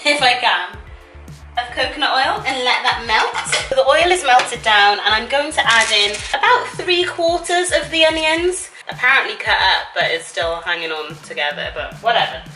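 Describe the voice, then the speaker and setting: A woman's voice: a little high, lively intonation, normal speed. Chatty young woman over music. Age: young adult. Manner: chatty, informal, playful asides. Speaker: cooking vlogger. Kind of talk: casual cooking narration. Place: home kitchen, indoors. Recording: music and frying sizzle behind voice. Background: music, sizzling food, stirring.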